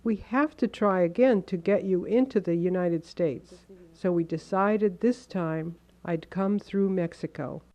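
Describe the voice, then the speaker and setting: A woman reading a translated first-person account as a measured, earnest voice-over. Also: lowish voice, darkish tone.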